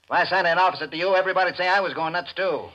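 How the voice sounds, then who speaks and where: A sharp, quick-firing police inspector from a 1940s radio mystery. He is brusque and dismissive, barking in a slightly high voice through a dull old broadcast recording. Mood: exasperated. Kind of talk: 1940s radio crime acting.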